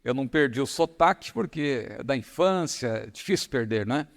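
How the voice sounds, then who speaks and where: A middle-aged man with a São Paulo accent, preaching a sermon and reading scripture slowly with emphatic stress. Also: medium pitch, lively intonation, vocal fry. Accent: São Paulo Portuguese. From São Paulo, Brazil. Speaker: pastor. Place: large church hall. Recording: long hall reverb.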